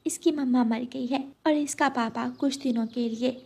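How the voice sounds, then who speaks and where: A woman acting a motherly cartoon sparrow, female, high voice, kind, gentle, reassuring. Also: fairly even intonation, normal speed, vocal fry, husky, exaggerated voice. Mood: gentle, explaining. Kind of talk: children's cartoon story dubbing.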